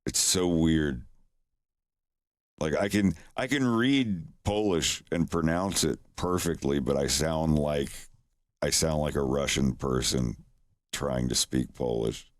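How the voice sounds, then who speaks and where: Man, very deep voice, casual rambling stream talk, self-deprecating, dry close mic. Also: slow, no room sound. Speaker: streamer, animator. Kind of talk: casual livestream chat. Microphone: desk microphone.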